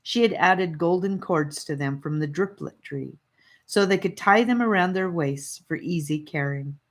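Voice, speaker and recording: A woman reading a children's fantasy audiobook, narrating in a careful, expressive storytelling manner. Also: on the low side, moderate pace. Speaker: audiobook reader.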